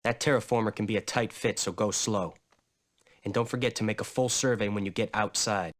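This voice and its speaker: An actor playing a friendly, wry army sergeant in a scripted game cutscene, with a dry, matter-of-fact manner. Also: male voice, on the low side, steady tone, brisk, natural voice.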